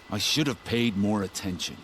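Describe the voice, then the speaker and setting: An actor playing a distraught middle-aged father in a video game, speaking in an anguished, halting, emphatic way. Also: lowish voice, a bit bright, slight background noise. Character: distraught middle-aged father searching for his missing daughter. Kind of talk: video game character acting.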